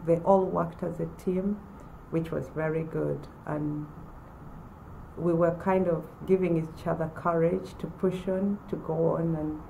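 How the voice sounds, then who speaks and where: A woman in her forties from Uganda, telling her own story in a measured way with pauses. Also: low-pitched, fairly even intonation, creaky, mellow, slight background noise, poor audio. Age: 40s. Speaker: former college student. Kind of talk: personal testimonial to camera.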